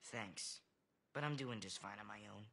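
A man's voice: lowish voice, moderate pace, gravelly, sharp tone, husky, heavily acted. An actor playing a cocky, guarded teenage American boy adventurer, confident in his delivery, in a video game cutscene. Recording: music behind dialogue.

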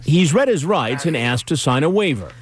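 A man's voice: a little high, very animated, normal speed, a crowd in the background. Man narrating a crime documentary.

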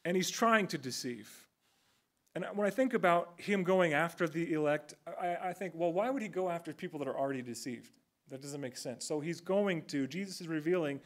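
Earnest pastor in his thirties, slightly high voice. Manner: earnest, conversational preaching with emphatic rises. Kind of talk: expository sermon. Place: church sanctuary with a stage and band gear.

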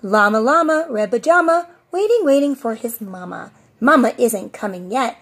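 High-pitched woman reading a children's story. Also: expressive, normal speed, a bit bright. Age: middle-aged. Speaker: preschool teacher reading a storybook. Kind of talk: children's story read-aloud. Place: outdoors on a covered porch.

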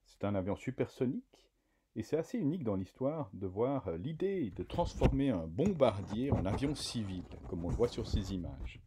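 A man reading a scripted news voice-over in a measured way. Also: lowish voice, very animated, background noise. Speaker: narrator.

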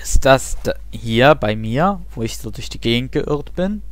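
A man's voice: mid-range voice, animated, a little slow, slight background noise. Young man rambling over gameplay. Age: young adult. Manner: chatty, rambling, self-mocking asides. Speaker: let's play host. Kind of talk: casual gameplay commentary.

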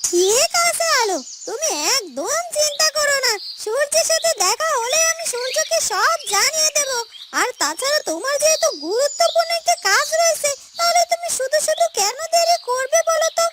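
A woman's voice: extremely high pitch, lively intonation, moderate pace, heavy background noise. A woman playing a scheming, spiteful young female villain in a fairytale cartoon, sly and gloating, with music under her. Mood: falsely sweet. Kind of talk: children's fairytale cartoon voice acting.

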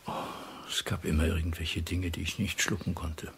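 An actor playing a weary, conscience-torn middle-aged spy in a radio play, with a husky, breathy voice. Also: male voice, sits low, flat delivery, moderate pace, whispered. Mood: weary, subdued. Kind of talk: intimate German radio play acting.

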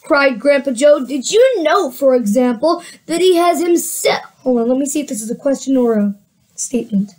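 A woman reads a children's storybook aloud in a high voice, giving the characters voices of their own. She often restarts lines and drops into relaxed asides to her listeners. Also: moderate pace, poor audio. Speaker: reader of a children's book.

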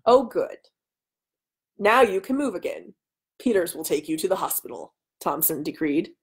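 A woman, giving an animated live reading of a story in a small room at home. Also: lowish voice, normal speed, husky. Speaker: author and host. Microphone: webcam mic at arm's length.

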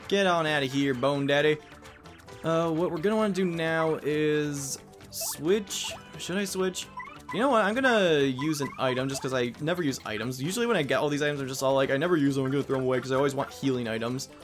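A man's voice: a little high, expressive. Rambling, jokey let's play guy. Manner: rambling, jokey, quick asides. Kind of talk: casual gameplay commentary. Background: game music.

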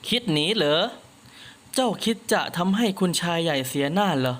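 A man's voice: a little high, lively intonation, moderate pace, fairly bright tone. A man voicing a boastful, brutish male henchman who gloats at his prey. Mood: gloating.

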